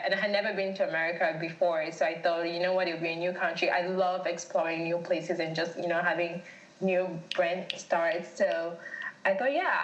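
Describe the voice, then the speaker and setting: A woman's voice: on the low side, roomy sound, rough recording. A young woman from Cameroon whose first language is French, chatting easily as a podcast guest and laughing often. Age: young adult. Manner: chatty, laughs easily, restarts her phrases. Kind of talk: casual interview chat.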